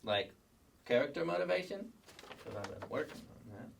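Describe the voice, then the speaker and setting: Dim, vain kindergarten child star, grown man acting, slow deadpan delivery, sketch comedy, distant mic. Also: mid-range voice, vocal fry, slight background noise. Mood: laid-back. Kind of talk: staged sketch comedy reading.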